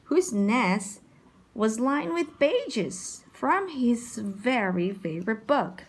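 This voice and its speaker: A woman reading a children's picture book aloud in an expressive, animated and unhurried storytelling manner. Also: fairly high pitch, husky. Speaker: storybook reader.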